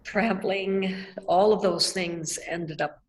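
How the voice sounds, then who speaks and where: An older woman from Nebraska, answering questions in a reflective, measured way over a video call. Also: mid-range voice, fairly even intonation, a little hiss or hum. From Nebraska, United States. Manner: reflective, measured, with soft laughs. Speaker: artist guest. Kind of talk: thoughtful conversational answers. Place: art gallery, indoors. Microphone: video call webcam.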